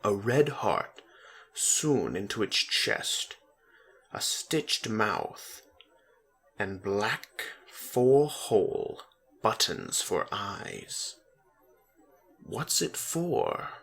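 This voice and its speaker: A man's voice: lowish voice, big pitch swings, drawn-out words, fairly bright tone, husky. A man narrating a story as a dramatic reading.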